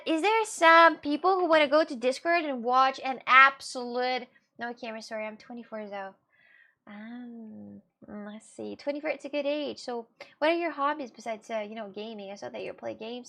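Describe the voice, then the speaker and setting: A woman's voice: sits high, bright, forceful tone. A woman from Russia in her twenties, chatting casually and animatedly on a live stream, often repeating herself.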